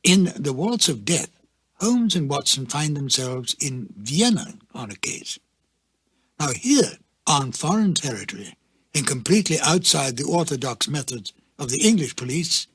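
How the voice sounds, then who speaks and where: A man's voice: on the high side, big pitch swings, measured pace, a bit bright, breathy edge, dry recording. A man giving a scripted introduction as presenter, in a formal, lecturing manner. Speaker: presenter introducing a radio drama.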